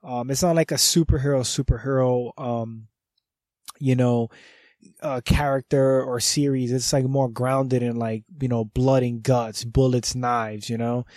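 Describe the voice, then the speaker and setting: A man hosting a podcast, stuffy and nasal from a cold, talking in a loose, enthusiastic and profane way with frequent throat clearing. His voice is warm and mellow. The sound is dry and close, with no room around it. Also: mid-range voice, steady tone, measured pace, no room sound. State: congested from a cold. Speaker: podcast host. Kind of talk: casual review monologue.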